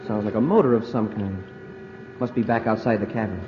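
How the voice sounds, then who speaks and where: A man's voice: lowish voice, brisk, close to an everyday voice. Man playing earnest young space cadet, very noisy recording. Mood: curious, uneasy. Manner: earnest, deferential. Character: eager, respectful young male space cadet sidekick. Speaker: radio drama actor. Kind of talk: mid-century radio adventure acting. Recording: noisy, muffled old radio recording.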